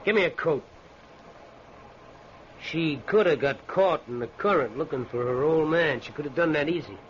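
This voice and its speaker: A man acting in an old-time radio drama as a callous, scheming rural man with gruff, folksy speech. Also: fairly high pitch, animated, normal speed, lightly acted. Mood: cold, scheming. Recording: muffled, noisy old radio recording.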